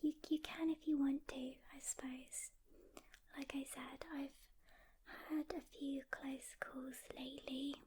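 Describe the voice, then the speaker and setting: A woman playing a reluctant loner demon hunter in an ASMR roleplay, soft-spoken and whispering, with a casual, halting delivery. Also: high-pitched, little pitch movement, slight background noise. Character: seasoned female demon hunter, reluctant loner, guarded but caring.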